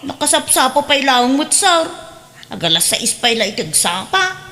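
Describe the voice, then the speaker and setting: In a reverberant hall sound, a brash young Ilocano housemaid with a high, bright voice. She is sassy and whiny in full radio drama style, with a Filipino accent. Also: very animated, moderate pace, heavily acted. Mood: sassy, indignant. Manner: sassy, whiny, exaggerated delivery. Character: brash, lazy, thieving young housemaid who talks back.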